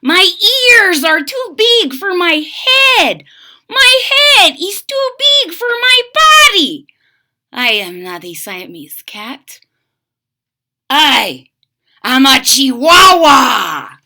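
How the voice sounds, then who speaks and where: An exuberant little boy kitten from a storybook, acted out by a woman. The voice leaps very high and loud, bright and forceful, drawing words out in excitable exclamations. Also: full character voice. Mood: proud declaring. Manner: exuberant, sing-song, drawn-out exclaiming. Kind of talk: storybook read-aloud.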